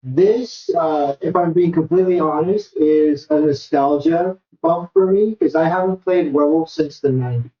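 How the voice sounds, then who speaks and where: A man's voice: fairly high pitch, normal speed, few pauses, lo-fi sound. A middle-aged man from the United States introducing himself as a player, candid and easygoing. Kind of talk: casual self-introduction.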